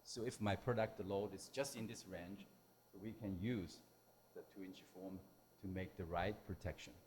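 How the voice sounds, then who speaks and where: A man who is a non-native English speaker giving a technical lecture in halting, simple phrases. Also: sits low, steady tone, a little mellow, slight background noise. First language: not English. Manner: halting, hesitant delivery with simple broken phrasing. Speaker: technical presenter at a symposium.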